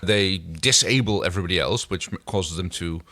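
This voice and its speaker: A man with a lowish voice co-hosts a podcast. He chats casually through an episode recap, recorded dry and close with no room sound. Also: normal speed, sharp tone, no echo. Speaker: podcast co-host.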